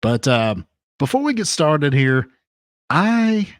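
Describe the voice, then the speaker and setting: A man hosting a solo podcast, talking off the cuff with hyped banter and shout-outs to his chat, into a compressed studio microphone. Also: on the high side, very animated, slow pace, no room sound. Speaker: podcast host.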